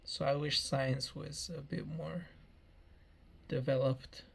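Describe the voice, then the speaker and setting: A man's voice: fairly high pitch, flat delivery, slow, creaky, a bit bright, husky. A man in his early thirties giving a meandering personal life update, often repeating himself and restarting phrases. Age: 30s. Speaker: vlogger.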